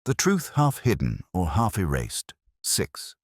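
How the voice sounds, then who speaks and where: A man reading a scripted first-person detective story in a measured, reflective, literary manner. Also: low voice, wildly swooping pitch, no echo, studio quality. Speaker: narrator.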